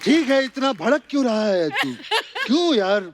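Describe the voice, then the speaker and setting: A man's voice: very high-pitched, expressive, moderate pace, loud music behind the voice. A man playing a boozy, scheming father in a theatrical stage comedy sketch. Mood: indignant. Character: boozy, scheming elderly father, comic film-star parody. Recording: loud noise from studio audience.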